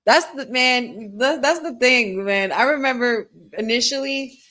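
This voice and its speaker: A woman co-hosting a wrestling watch-along, talking in an excitable, chatty fan style with lots of "like". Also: on the high side, a little slow. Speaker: podcast co-host. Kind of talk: casual watch-along commentary.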